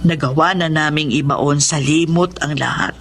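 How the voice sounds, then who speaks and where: A woman's voice: low voice, moderate pace. A woman playing a tender older mother, music behind. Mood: consoling, tender. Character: gentle, consoling older mother, forgiving and patient. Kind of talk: radio drama acting.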